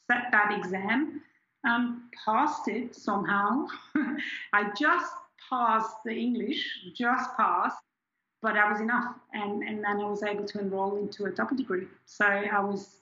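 Bright-voiced woman in her forties. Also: mid-range voice, echoey room, dull audio. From Switzerland. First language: German. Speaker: scientist guest. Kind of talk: candid personal interview.

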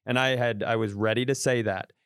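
A man's voice: mid-range voice, steady tone, brisk, a bit bright, clean tone, no echo, clean studio sound. A man co-hosting a podcast over a video call, telling a self-deprecating story in a rambling, casual way with lots of restarts. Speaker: podcast co-host. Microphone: podcast mic over video call.

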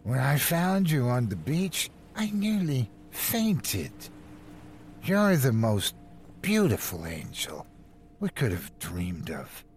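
A man playing an eerily gentle alien cult devotee in an audio horror story, speaking slowly and fervently with a creaky voice. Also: medium pitch, very animated, a little hiss or hum. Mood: awed, reverent. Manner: slow, dramatic, fervent preaching delivery.

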